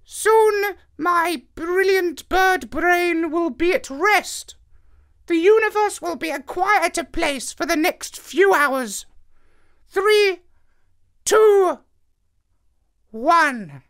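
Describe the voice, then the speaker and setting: A man's voice: very high voice, animated, drawn-out words, pauses often, fairly bright tone, pitched above the performer's own voice, exaggerated voice. A man voicing a haughty, megalomaniac parrot in a squawky screech for a children's story. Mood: self-satisfied. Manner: haughty, orating, self-important. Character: pompous megalomaniac male parrot, screechy and imperious.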